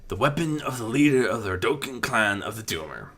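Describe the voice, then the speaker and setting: Man as a calm, solemn, otherworldly mentor figure. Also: mid-range voice, pitched above the performer's own voice. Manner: measured, solemn, deliberate.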